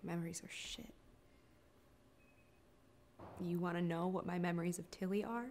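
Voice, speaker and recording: A woman's voice: lowish voice, level tone, normal speed, a little mellow, a little hiss or hum, lightly acted. A woman performing a dramatic stage monologue as a grieving, regretful older sister, blunt and defensive. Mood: bitter, defensive.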